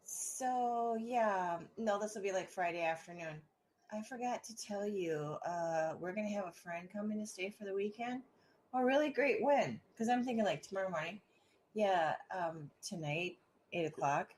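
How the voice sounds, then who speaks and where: A woman's voice: medium pitch, moderate pace, a little mellow, slight background noise. A female radio co-host in casual on-air banter, easygoing and giggling often.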